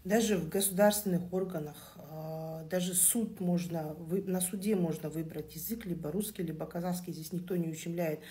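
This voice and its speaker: A woman's voice: lowish voice, fairly even intonation, normal speed, few pauses, mellow. A woman whose native language is Kazakh, giving her opinion in a casual monologue, earnest with hesitant fillers.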